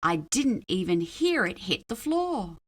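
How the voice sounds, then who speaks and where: A woman reading a children's storybook aloud in an expressive narrating style. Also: mid-range voice, measured pace. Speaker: storybook reader.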